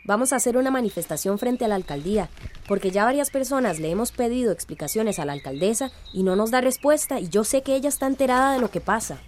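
A woman's voice: on the high side, lively intonation, fast talker, a little mellow, a little hiss or hum, lightly acted. An actress playing a determined, indignant village woman activist in a radio drama, earnest and resolute.

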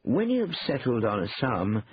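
A man voicing a shrewd older gentleman in an audiobook, politely rueful and slyly composed. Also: mid-range voice, wildly swooping pitch, measured pace, slightly rough, highs cut off, voice pushed up, exaggerated voice.

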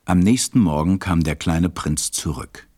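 A man narrating a scripted story for a radio play in a measured, calm storytelling manner. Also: low voice.

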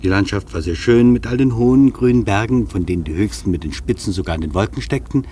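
An actor playing a wry, opinionated traveller who narrates his journey in a dry, measured, ironic way, with a husky voice. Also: lowish voice, dark tone, a little hiss or hum, close to an everyday voice. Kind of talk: literary first-person radio narration.

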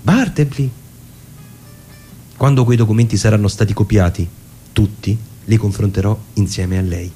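Man playing a mellow, self-satisfied lawyer. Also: fairly low pitch, normal speed, long pauses, soft background music, natural voice. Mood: commanding. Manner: reasoning aloud to himself. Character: fussy, self-satisfied male lawyer employer, puzzled and indulgent. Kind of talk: theatrical radio monologue.

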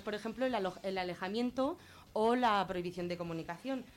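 A woman with a Spanish accent from Spain gives an informal explanation as a radio guest, colloquial and often halting as she thinks aloud. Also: medium pitch, a little hiss or hum. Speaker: guest explaining gender-violence legislation on a radio show.

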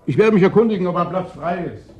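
A man's voice: sits high, animated, quick pace, a little mellow, muffled recording, faint music behind. A man playing a courteous, obliging and knowing bar waiter in a German radio drama.

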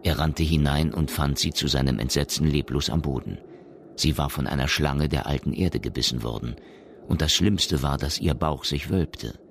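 Very deep, gravelly male narrator. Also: normal speed, slight background noise. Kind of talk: scripted narration of a science fiction radio play.

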